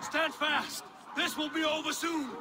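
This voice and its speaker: An actor playing a fierce, commanding Viking warrior leader in a dramatic video game. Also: male voice, very high voice, fairly even intonation, measured pace, over music. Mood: urgent, commanding.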